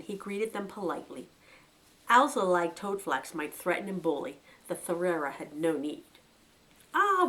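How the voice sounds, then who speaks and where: A woman reading a novel aloud for a local bookshop, with expressive narration. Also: fairly low pitch, low-quality recording. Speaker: bookshop reader.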